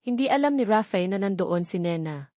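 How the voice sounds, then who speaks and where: A woman's voice: medium pitch, animated, fairly quick, warm tone, no room sound. Woman narrating a story, dry close sound. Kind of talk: scripted narration of a Tagalog romance story.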